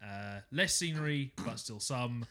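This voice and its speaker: Co-host with a bright, sharp voice and deadpan asides. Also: male voice, medium pitch, a little slow. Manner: dry, wry quips. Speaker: podcast co-host. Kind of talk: casual group podcast chat.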